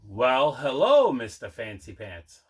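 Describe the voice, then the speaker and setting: A man's voice: medium pitch, wildly swooping pitch, slow, slightly rough. A man hosting a collecting vlog, chatting casually in a rambling, conversational way and correcting himself as he goes. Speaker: host of a collecting channel. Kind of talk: casual unboxing vlog chat.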